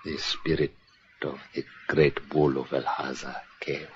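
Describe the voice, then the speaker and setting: An actor playing a grave manservant in a radio horror drama, speaking solemn, halting, broken English in a husky voice. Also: male voice, extremely low pitch, level tone, a little hiss or hum, heavily acted. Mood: grave, haunted. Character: grave African manservant telling of eerie deaths. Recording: dull, muffled old radio recording.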